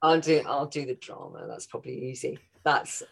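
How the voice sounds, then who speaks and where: A woman's voice: very deep voice, normal speed, gravelly, slightly rough. A woman from North Wales with a Scouse-tinged accent, chatting as a guest actress, enthusiastic and laughing often. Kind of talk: casual interview chat.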